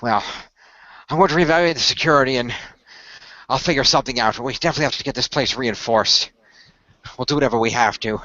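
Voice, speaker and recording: A man playing a calm, technically minded team leader in an amateur radio play, speaking in a measured, authoritative, explanatory way. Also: mid-range voice, dry recording, highs cut off, natural voice. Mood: determined, concerned.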